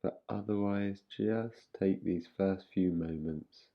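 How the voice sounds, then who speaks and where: Guy doing guided meditation, really slow n soothing, long pauses, kinda muffled recording. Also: sits low, fairly even intonation, gravelly. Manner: unhurried, soothing, gentle instruction with long pauses. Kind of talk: guided relaxation meditation, yoga nidra.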